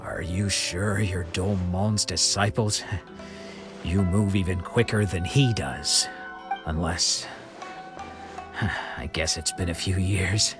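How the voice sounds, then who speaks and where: Low-voiced, middle-aged swordsman, proud but regretful, measured storytelling, faint game music. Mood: surprised. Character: grown man, former sword disciple, proud and rueful. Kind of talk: video game voice acting.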